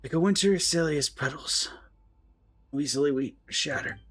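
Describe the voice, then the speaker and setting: A man reading out the solemn, measured dialogue of earnest soldiers in a fantasy game story. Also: fairly high pitch, a bit bright, husky. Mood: solemn, resolute. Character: earnest soldiers speaking gravely over a wounded captain.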